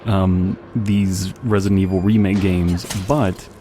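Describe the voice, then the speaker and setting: A man's voice: low voice, fairly even intonation, slow, mellow, slight background noise. A man giving casual, rambling commentary as he plays a video game, with wry joking asides. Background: game music and gunfire.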